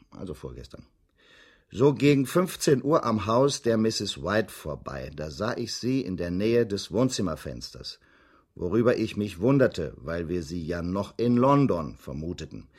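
A methodical police inspector in a radio play. His voice is low and husky, with strong rises and drops in pitch. He questions deliberately and politely, but with pointed insistence. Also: exaggerated voice. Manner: deliberate, insistent, theatrically emphatic. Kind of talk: radio drama acting.

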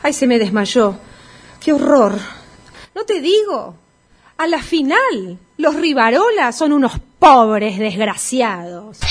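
An actress playing a brazen swindler who poses as an indignant pianist, in radio comedy with a Rioplatense Argentine accent and theatrical, emphatic delivery. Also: female voice, fairly high pitch, husky, exaggerated voice. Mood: mock horror. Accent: Rioplatense Argentine Spanish.

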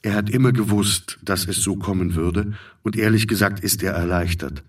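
A man's voice: low-pitched, normal speed, recorded from a distance. A man narrating a literary audio drama from a script.

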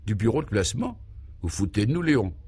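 A man's voice: fairly low pitch, big pitch swings, quick pace, darkish tone, breathy edge. A man voicing a curious, sceptical adventurer who questions his guide warily in a comic radio serial. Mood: puzzled, sceptical. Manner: questioning, wary.

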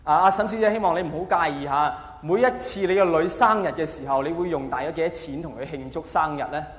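A man with a Hong Kong Cantonese accent playing a courteous, officious bank loan officer in a comedy routine, asking formal, measured questions. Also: fairly high pitch, lightly acted. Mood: polite, probing. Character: polite, officious male bank loan officer interviewing a client. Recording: echoey hall, faint background noise.

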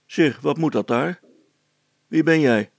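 A man playing a bold, gruff man in a story, scolding a boy in a blunt, dismissive, colloquial way. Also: fairly high pitch, takes it slowly, dry recording, voice pushed up, heavily acted. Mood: indignant.

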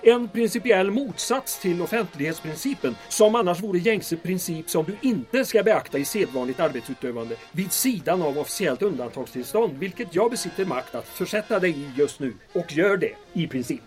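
Man playing a pompous town mayor, high voice, officious, long-winded, audio drama. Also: animated, hardly any pauses, a little mellow, soft background music. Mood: pompous, pressuring. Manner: long-winded, bureaucratic phrasing.

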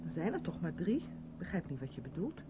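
A woman's voice: lowish voice, sing-song, fairly quick. An actress playing an earnest, assured woman who directs an amateur theatre group, in 1970s radio play style. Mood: matter-of-fact. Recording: muffled, noisy old radio recording.

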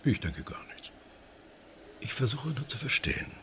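An actor playing a suspicious police commissioner, questioning in a dry, measured way in a radio drama. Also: male voice, medium pitch, big pitch swings, sharp tone, slight background noise.

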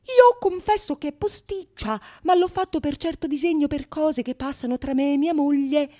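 Actress as betrayed husband in stage farce: very high, shrill, indignant, whining, protesting. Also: female voice, moderate pace, warm tone, natural voice. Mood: sheepish. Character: furious foolish cuckolded husband, whining and indignant. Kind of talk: theatrical radio monologue of Renaissance comedy.

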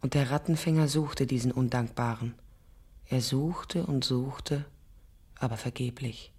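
An actress playing a tender, firm German mother in a radio drama, speaking deliberately, like a solemn storyteller. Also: very deep voice, a little mellow, breathy edge, full character voice. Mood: solemn, ominous. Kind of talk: radio drama acting, fairy-tale storytelling. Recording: music behind the voice.

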